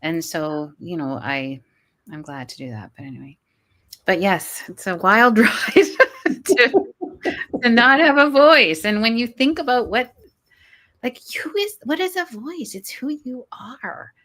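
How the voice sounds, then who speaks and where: A woman's voice: a little high, expressive, a little slow, a bit bright. A woman in an interview who talks earnestly as a guest, restarting her words often and sometimes laughing as she goes. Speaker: guest, college professor. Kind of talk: conversational interview.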